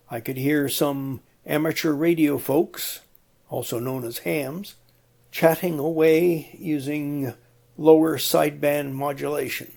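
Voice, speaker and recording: Male hobbyist presenter, unhurried and slightly gravelly, plain-spoken, walking step by step through technical work. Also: medium pitch, darkish tone. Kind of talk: explanatory DIY electronics narration.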